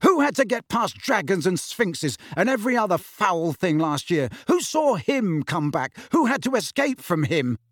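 A man voicing an angry teenage boy wizard who shouts bitter, sarcastic questions. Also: high-pitched, big pitch swings, moderate pace, few pauses, no room sound, studio quality, voice pushed up, heavily acted. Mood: furious.

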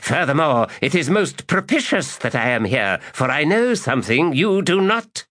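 A male narrator voicing a scheming, lecherous mage who mutters his plots aloud, high and excitable, in an audiobook. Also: moderate pace. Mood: gleeful. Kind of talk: audiobook character voice.

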